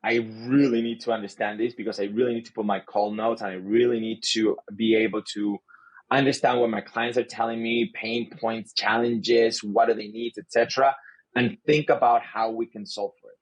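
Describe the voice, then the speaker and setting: Husky man, Spanish first language, earnest sales-leader guest, talks in restarts. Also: on the low side, flat delivery, normal speed, low-quality recording. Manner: earnest, frequent restarts and repeated words. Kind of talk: casual podcast conversation.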